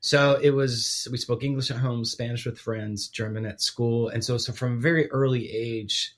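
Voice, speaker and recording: A man's voice: mid-range voice, steady tone, normal speed. A middle-aged man from Guatemala, a podcast guest chatting casually in a self-deprecating, rambling way with restarts. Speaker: podcast guest, theology professor. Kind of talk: casual chat.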